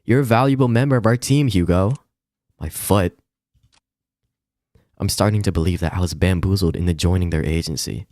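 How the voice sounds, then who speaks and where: Man voicing a deep, grumbling jaded detective. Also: normal speed, pauses often, a little mellow, natural voice. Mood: sarcastic grumbling. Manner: grumbling, weary, sarcastic. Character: grumbling, jaded thirty-year-old male detective. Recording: roomy echo, music behind.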